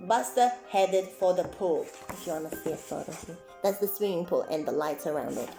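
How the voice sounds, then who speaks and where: A woman reading a story aloud for children as a clown presenter, expressive and exclaiming, with chatty asides to her young listeners. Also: on the low side, brisk, vocal fry. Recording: roomy echo, music faintly behind.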